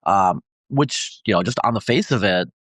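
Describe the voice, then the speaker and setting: A man hosting a podcast, asking long, halting questions full of fillers and restarts. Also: on the low side, lively intonation, fairly bright tone, no room sound. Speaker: podcast host. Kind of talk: casual interview questions.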